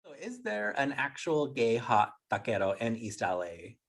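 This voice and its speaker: A man's voice: medium pitch, big pitch swings, moderate pace, vocal fry. A man with an American accent hosting a podcast interview in an upbeat style. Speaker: interview host, workshop facilitator.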